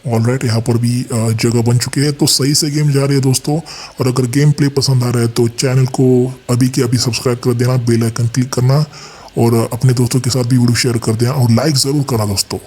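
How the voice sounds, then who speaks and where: An Indian man with a slightly dark, husky voice at an average male pitch. He gives casual gameplay commentary as a channel host, explaining as he goes and talking to viewers like friends. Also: fairly even intonation, fairly quick, few pauses.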